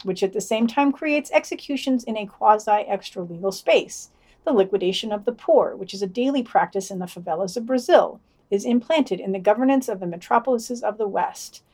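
A woman's voice: medium pitch, a little fast, darkish tone. A woman co-hosting a podcast, reading out a news digest with dry, wry asides. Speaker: podcast co-host.

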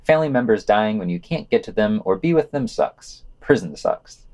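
A man's voice: on the low side, lively intonation, moderate pace, darkish tone. A man reading scripted news summaries and quotations for a podcast, adding casual, sardonic asides.

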